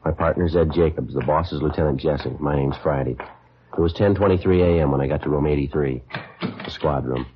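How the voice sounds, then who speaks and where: A man playing a terse, businesslike police detective in a 1950s radio drama, speaking in a deadpan, clipped way. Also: a bass voice, fairly quick, slight background noise. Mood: flat, businesslike. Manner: deadpan, clipped, matter-of-fact. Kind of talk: 1950s radio acting, clipped narration and dialogue. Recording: dull, lo-fi old radio recording.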